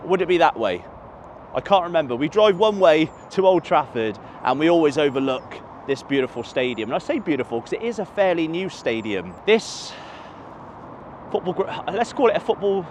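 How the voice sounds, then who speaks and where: A man talks to camera outside a football stadium, rambling along and fixing his words as he goes. His voice sits at an everyday pitch. Faint traffic hums behind him in the open air. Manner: chatty, rambling, self-correcting. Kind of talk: casual walking vlog to camera. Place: outdoors by a stadium near a motorway.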